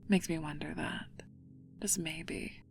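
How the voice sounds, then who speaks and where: Woman acting playful regal goddess, low female voice, gravelly creak, breathy whispers, slow teasing delivery, wistful, ASMR roleplay, soft music behind. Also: steady tone, heavily acted. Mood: intrigued, musing. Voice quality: breathy, often dropping into whispers.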